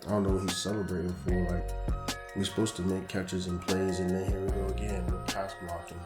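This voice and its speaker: Young guy commentating a football game, low voice, kinda hoarse, slangy and annoyed, echoey room with music behind. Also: fairly quick. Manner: casual, slangy, frustrated and animated. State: losing his voice. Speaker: gaming YouTuber. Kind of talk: gameplay commentary. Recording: roomy echo with background music.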